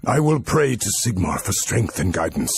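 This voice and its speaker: A man's voice: on the low side, sing-song, normal speed, creaky, husky, no room sound, full character voice. A man playing a grave, pious army commander in a fantasy video game, solemn and commanding. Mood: grave, resolved. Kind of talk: video game cutscene acting.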